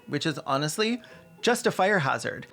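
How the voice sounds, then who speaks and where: A man's voice: on the high side, sing-song, normal speed, gravelly, pushed and bright, soft background music. A man narrating scripted comedic commentary in a wry, sarcastic manner. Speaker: video essay narrator.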